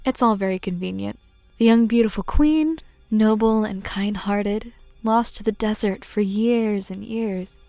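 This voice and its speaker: Guarded, frank woman delegate, acted out, mid-pitched voice. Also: mellow, no room sound, soft background music, close to an everyday voice. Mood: skeptical. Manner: measured, frank. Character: guarded, serious female delegate, frank and skeptical. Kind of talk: fan dub character acting.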